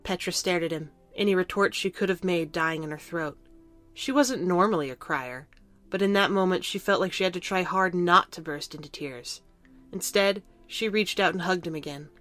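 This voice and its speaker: Female audio drama narrator, slightly low voice, scripted storytelling with faint music underneath. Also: normal speed, fairly bright tone, no room sound.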